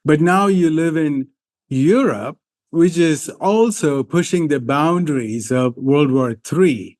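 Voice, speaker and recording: A man hosting an interview podcast, speaking haltingly with many fillers. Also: on the high side, animated, a little mellow. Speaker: podcast host.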